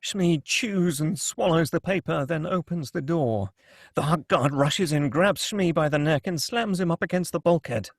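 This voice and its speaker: A man's voice: fairly high pitch, moderate pace, no room sound, studio quality. A man reading screenplay stage directions aloud as the narrator at a table read.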